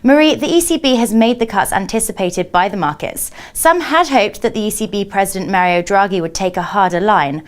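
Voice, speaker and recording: A woman's voice: medium pitch, brisk, hardly any pauses. A woman working as a television journalist, delivering scripted questions in a broadcast news interview.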